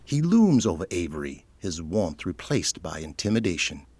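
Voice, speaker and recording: A man's voice: low-pitched, sing-song, moderate pace, darkish tone, husky, no room sound. A man delivering scripted narration for an audio drama, setting each scene.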